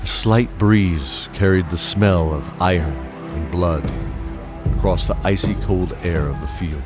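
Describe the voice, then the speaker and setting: A man's voice: deep voice, a little slow. A man narrating a scripted fantasy audio drama with dramatic storytelling. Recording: prominent music bed behind voice.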